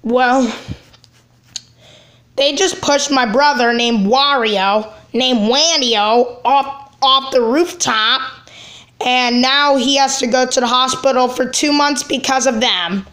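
A woman voicing a worried, indignant cartoon brother who speaks haltingly and repeats phrases. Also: sits high, fairly bright tone.

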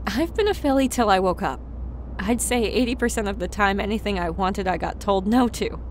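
A woman's voice: mid-range voice, fairly quick, a little hiss or hum, lightly acted. A woman voicing a plucky, stubborn young mare pony in an audiobook drama, earnest and emphatic. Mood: gentle, wry. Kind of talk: audiobook character acting. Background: music.

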